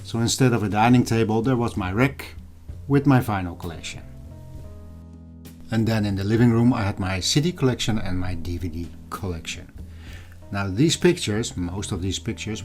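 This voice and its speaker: A middle-aged man narrating a vlog in a measured, explanatory way, speaking directly to his viewers as the host. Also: on the low side, a little mellow. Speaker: video channel host. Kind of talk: scripted vlog narration over old photos and footage. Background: music, guitar.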